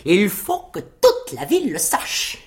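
A woman's voice: mid-range voice, wildly swooping pitch, takes it slowly, voice pushed up. A woman voicing a boastful, plucky little male tailor in a fairy tale, cocky and full of exclamations. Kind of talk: fairy-tale storybook acting.

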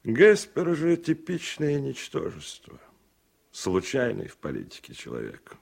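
An actor playing a cynical, melancholic political reporter in a radio play, gravelly and sardonically emphatic. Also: male voice, medium pitch, wildly swooping pitch, measured pace, heavily acted. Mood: scornful. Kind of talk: Soviet-style radio play acting.

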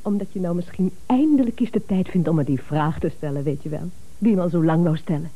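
An actress playing a devoted, admiring secretary in a comic radio play. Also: female voice, fairly low pitch, fast, lightly acted, poor audio. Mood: adoring. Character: admiring, devoted female office secretary in love. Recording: 1970s radio play recording.

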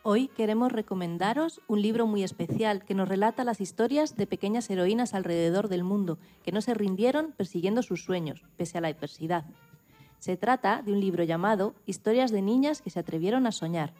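A woman's voice: mid-range voice, fairly quick, mellow, faint music behind. A woman presenting on the radio, reading a prepared script aloud.

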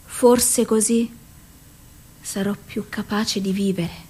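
Actress doing a broken despairing woman patient, radio play monologue, drawn out words w long pauses. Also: mid-range voice, steady tone, dark tone, a little hiss or hum, lightly acted, poor audio. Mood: bleak, self-loathing. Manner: incantatory list of single words, drawn out with pauses. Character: broken, despairing psychiatric patient woman reciting her torment. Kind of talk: theatrical radio drama monologue.

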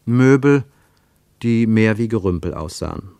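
A man narrating a radio drama in a measured, expressive literary storytelling manner. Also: on the low side, creaky, no echo.

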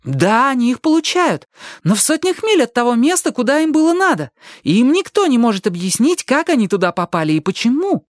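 A woman voicing a sharp, stubborn teenage girl in an audiobook, emphatic and quick to argue or tease. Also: mid-range voice, lively intonation, dry recording, studio quality, pitched above the performer's own voice, close to an everyday voice. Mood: indignant. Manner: emphatic, argumentative. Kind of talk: audiobook character voice.

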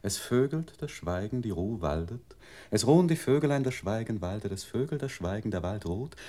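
A man playing a computer memory unit in a radio play, reciting verse in a detached, deliberate, measured manner. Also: fairly low pitch, lively intonation, dark tone, natural voice. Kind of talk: 1960s German experimental radio play.

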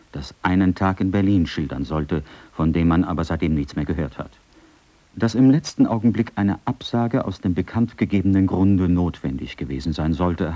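An actor voicing a 1930 Berlin radio figure, reading formal period texts with emphatic declamation. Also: male voice, sits low, dark tone, dry recording. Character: 1930 Berlin radio critics and writer addressing listeners. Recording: dull, slightly muffled cassette recording.

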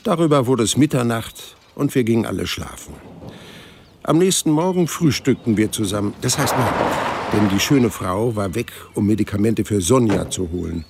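Creaky, wry hundred-year-old man. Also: on the low side, animated, moderate pace, darkish tone, slight background noise. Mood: wry, reflective. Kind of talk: German radio drama narration and dialogue. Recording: music behind the voice.

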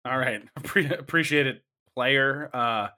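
A man hosting a casual sports betting panel, upbeat and quick to laugh, often restarting his sentences. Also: mid-range voice, pushed and bright. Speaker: host of a sports betting show.

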